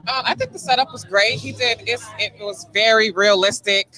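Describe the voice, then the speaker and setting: A woman's voice: a little high, normal speed, sharp tone, low-quality recording. A woman giving her thoughts as a guest in a casual on-location interview. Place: on location backstage at a theater.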